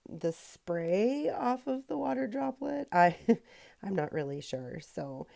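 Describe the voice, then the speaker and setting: A woman hosting a painting tutorial in a casual voice-over, her voice raspy from a recent cold, chatty with many ums and self-corrections. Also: medium pitch, big pitch swings, takes it slowly, mellow. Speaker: rock painting tutorial host.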